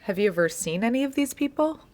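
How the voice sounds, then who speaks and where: A woman with an American accent playing a patient, measured interviewer who gently probes, in a naturalistic audio drama. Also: fairly high pitch, close to an everyday voice. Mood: patient, probing. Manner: measured, calm, probing. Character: patient, probing woman interviewer recording an oral history.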